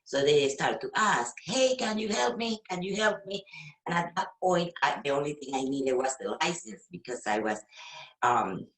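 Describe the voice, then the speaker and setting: A Venezuelan woman, a real estate agent whose first language is Spanish, talking casually in an interview with many fillers and pauses. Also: deep voice, expressive, a bit bright, roomy sound, rough recording. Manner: hesitant, with frequent fillers and laughs.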